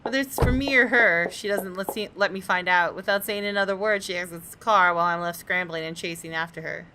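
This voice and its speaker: A woman's voice: on the low side, brisk, sharp tone, slight background noise. A woman gamer reading the first-person narration of a visual novel aloud. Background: game music.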